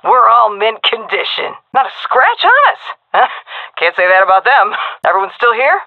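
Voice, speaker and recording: A tough, cocky woman commando with a fairly high voice that moves around a lot. She delivers clipped, sardonic combat lines with brash swagger. It all comes through a thin, phone-like radio filter. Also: sing-song, normal speed, no room sound. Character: tough, cocky, foul-mouthed female navy commando commander. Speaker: video game military character, SEAL frogman commander. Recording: narrow, phone-like radio comms.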